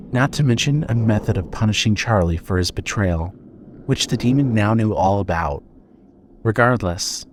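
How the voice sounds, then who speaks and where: A man with a fairly low voice narrates a horror story from a script. His delivery is dramatic, and a faint bed of ambient noise sits behind him. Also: darkish tone.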